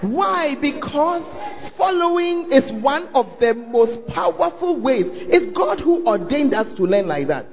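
A Ghanaian man preaching in a very high, animated voice, swinging his pitch up and down as he calls out to the congregation. His voice rings through a big church hall with a long echo, and the sound is a little muffled. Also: measured pace, faint music behind. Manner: calls out to the audience, prods them to respond. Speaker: pastor. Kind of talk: lively sermon to a congregation.

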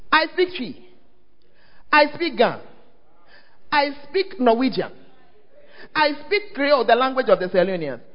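A Ghanaian man preaches in a very high, lively voice, throwing questions out to his congregation and swooping up and down in pitch. The sound is dull and a little muffled. A long echo from the church hall hangs behind every phrase. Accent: Ghanaian English. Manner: call-and-response, often asks the crowd "are you there?". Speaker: pastor. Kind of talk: animated preaching sermon.

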